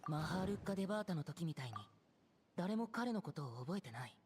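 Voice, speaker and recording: An actor playing a calm, thoughtful young adventurer in game dialogue, reasoning things through. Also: male voice, sits high, rapid-fire, crowd noise. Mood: pondering. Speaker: game character.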